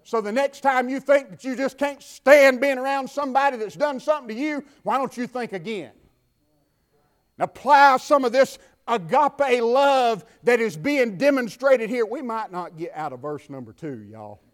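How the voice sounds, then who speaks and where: A man with a Southern US accent preaching a sermon from the pulpit, building to fervent shouts and dropping to quiet talk. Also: very high-pitched, animated, normal speed. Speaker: pastor. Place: church.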